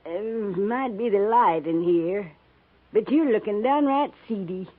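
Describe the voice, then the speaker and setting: A woman's voice: mid-range voice, expressive, measured pace, phone-quality sound. An actress playing a sly, teasing, sick old frontier woman with folksy backwoods talk in a 1950s radio western. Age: older. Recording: thin, band-limited 1950s radio recording.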